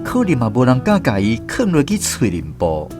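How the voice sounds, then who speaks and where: A man's voice: mid-range voice, wildly swooping pitch, normal speed, over music. A man with a Taiwanese accent narrating a scripted first-person story as a radio drama storyteller.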